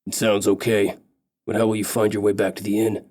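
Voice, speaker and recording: Guy voicing a gruff hired guide, blunt, all about the money. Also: fairly low pitch, little pitch movement, fairly quick, a little mellow, lightly acted. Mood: skeptical. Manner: blunt, bargaining. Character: gruff male mountain guide, wary mercenary.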